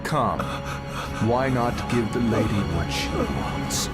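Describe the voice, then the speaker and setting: An actor playing a cruel, smug usurper in a video game, taunting with deliberate, sneering formality. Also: male voice, medium pitch, expressive, loud music behind the voice. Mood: mocking. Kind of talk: dramatic video game acting.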